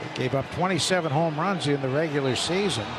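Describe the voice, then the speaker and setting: A man giving calm, analytical color commentary during a radio baseball broadcast. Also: on the high side, brisk. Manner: calm analytical remarks between plays. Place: broadcast booth at a ballpark. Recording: radio broadcast with crowd noise.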